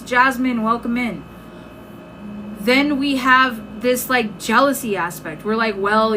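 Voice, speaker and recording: Woman, fairly high voice, strained from losing it, informal coaching talk, emphatic, noisy recording. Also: a bit bright. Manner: conversational, emphatic, checks in with "right?". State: losing her voice. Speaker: live-stream host and self-help coach. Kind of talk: informal motivational live-stream talk. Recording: clearly noisy background.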